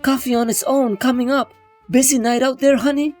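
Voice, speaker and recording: A woman acts out a late-night diner waitress in a motion comic. She is brusque and world-weary, with a high voice and a wry, colloquial way of talking. Music plays faintly behind her. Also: fairly even intonation, fairly quick, darkish tone. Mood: wry, chatty. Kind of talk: scripted comic-book drama dialogue.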